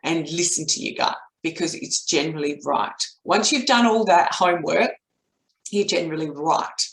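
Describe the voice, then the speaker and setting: A middle-aged woman with an Australian accent and a voice croaky from a cold, explaining steps as a business coach in a webinar. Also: low voice, lively intonation, normal speed. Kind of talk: explanatory webinar talk with step-by-step recap. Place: indoor home office, at a desk. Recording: roomy, echoey webcam audio.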